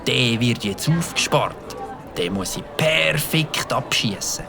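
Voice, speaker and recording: A man playing an eager, mischievous young boy with a Swiss German accent, excitably thinking aloud in a children's audio drama. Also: medium pitch, slow, vocal fry, music in the background, crowd noise. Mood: scheming. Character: Mike, eager mischievous young boy who loves firecrackers.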